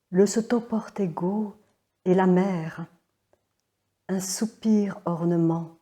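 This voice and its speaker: Woman for solo poetry recital: lowish, extremely slow delivery, short phrases with long pauses, hushed. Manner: measured, pausing after short phrases.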